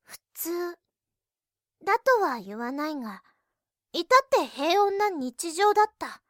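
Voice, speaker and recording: A woman playing an earnest, reserved high school girl who narrates her own story in a youth voice drama. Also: very animated, slow, no echo, clean studio sound, heavily acted. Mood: reflective. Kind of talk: scripted narration in an anime-style voice drama.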